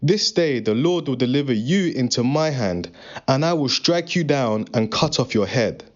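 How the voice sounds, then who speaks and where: A man's voice: mid-range voice, expressive, normal speed, natural voice. A man playing a confident, faithful young shepherd in a dramatized scripture reading, speaking in an earnest, declarative way. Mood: earnest, resolute. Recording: dull, muffled lo-fi recording.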